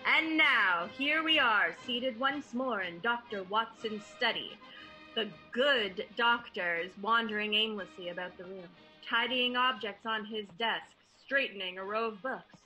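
A woman plays a poised hostess of a radio drama. She speaks slowly and invitingly in a bright, medium-pitched voice, measured like an announcer, with faint music behind. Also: low-quality recording. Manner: measured, scene-setting.

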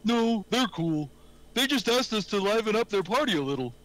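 Desperate, frightened ghost clown, read by a man, high-pitched male voice, script drama. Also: big pitch swings, normal speed, fairly bright tone, rough recording. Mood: frustrated. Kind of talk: amateur script table read.

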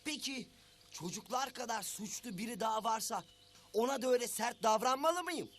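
A man's voice: very high-pitched, moderate pace, a bit bright, faint music behind. A man voicing a stern, slyly questioning friend in a children's cartoon, pointed and reproachful.

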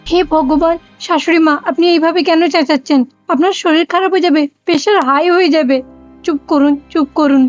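Casting: woman as anxious young cartoon daughter-in-law, very high, earnest, pleading, on a dull-sounding recording. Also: fairly even intonation, fairly quick, soft background music, close to an everyday voice. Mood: alarmed pleading. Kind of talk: cartoon voice acting.

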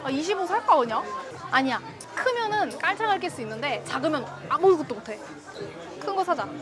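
High-pitched woman bantering on a vlog. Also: big pitch swings, a little fast, crowd noise. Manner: playful bantering. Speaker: vlog co-host, wife in a couple channel. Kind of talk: casual vlog chat. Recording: busy noisy urban public places.

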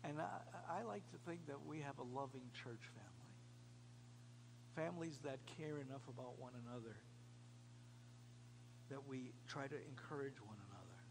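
An American man in his fifties from New York, a pastor giving an earnest, emphatic sermon with repeated phrases and pauses. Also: mid-range voice, expressive. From New York, United States. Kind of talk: sermon to a small congregation. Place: indoors, cafeteria used as a church hall. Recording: clearly noisy room recording with hum.

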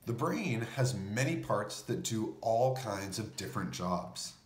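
A man's voice: lowish voice, animated, unhurried, roomy sound. A man reading a children's picture book aloud, explaining things as he goes. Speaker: storybook reader.

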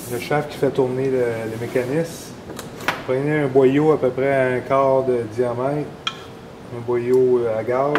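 A man's voice: mid-range voice, noisy background. A man in his forties with a Québécois French accent, explaining a repair step by step in a casual, rambling way with fillers, recorded in a workshop. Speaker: snowmobile mechanic. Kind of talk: hands-on repair tutorial. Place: indoor workshop garage.

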